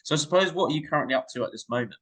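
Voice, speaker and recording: A man's voice: a little high, animated, very fast, a bit bright. A man hosting a podcast, upbeat and eager as he introduces and questions his guest. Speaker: podcast host. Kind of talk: podcast interviewing.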